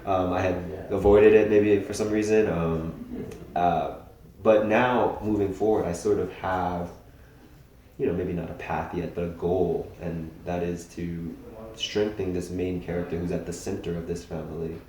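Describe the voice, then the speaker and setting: A young man from Hawaii, a filmmaker being interviewed, speaking thoughtfully with many pauses and restarts. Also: deep voice, fairly even intonation, mellow, slight background noise. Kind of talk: casual interview talk. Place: festival venue indoors. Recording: very echoey room.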